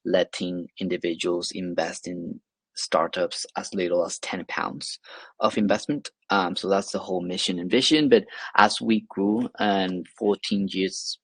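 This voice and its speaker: A man's voice: sits low, steady tone, unhurried, a bit bright, husky, no echo. A man from Venezuela, a guest explaining his work in a webinar interview, hesitant with many fillers and restarts. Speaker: guest, investment platform associate.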